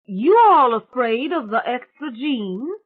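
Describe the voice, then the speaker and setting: A woman voicing a brash young country woman who chatters loudly and gushes. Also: mid-range voice, very animated, voice pushed up. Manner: loud, gushing, rapid chatter. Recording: tinny phone-like sound.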